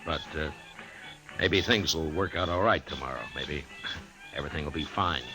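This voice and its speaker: An actor playing a steady, courteous American marshal in an old radio western, measured and understated. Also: male voice, a bass voice. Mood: courteous, hesitant. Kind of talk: old radio western acting and first-person narration. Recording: old radio broadcast with music behind.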